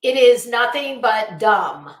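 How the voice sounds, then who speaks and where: A woman's voice: fairly high pitch, animated, slow, roomy sound. A woman delivering an opinionated online commentary rant, blunt, scolding, emphatic and sweary. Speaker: online commentary video host.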